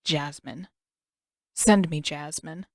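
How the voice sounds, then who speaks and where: A woman's voice: sits low, takes it slowly. A woman voicing an angry teenage prince in an audiobook, terse and speaking through clenched teeth. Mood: curt, tense.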